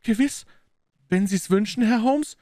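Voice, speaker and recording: Man putting on a high-pitched demure young lady. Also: lively intonation, fairly quick, no room sound, studio quality. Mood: surprised. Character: gentle, devoted young lady, softly hesitant.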